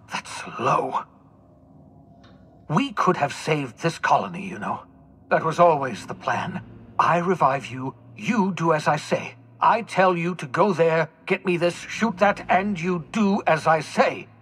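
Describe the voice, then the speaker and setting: Husky, bitter old scientist. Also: male voice, fairly high pitch, a bit bright, exaggerated voice. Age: older. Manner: theatrical, accusatory, eloquent. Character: bitter old mad-scientist man, defiant and betrayed. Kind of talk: dramatic video game voice acting.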